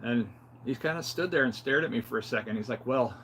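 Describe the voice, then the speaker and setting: A man's voice: medium pitch, a little fast, fairly bright tone, slight background noise, low-quality recording. A man in his fifties talking casually and unscripted as a health vlogger, in a plain-spoken, rambling, conversational way. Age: 50s. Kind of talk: casual unscripted vlog talk.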